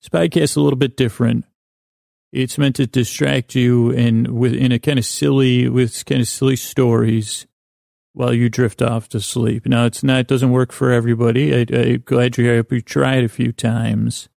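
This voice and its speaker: A man with a creaky voice hosting a podcast, delivering a meandering, halting, self-deprecating bedtime monologue full of digressions and pauses. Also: mid-range voice, little pitch movement, a little mellow, no echo, clean studio sound. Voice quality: creaky, gravelly. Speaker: podcast host.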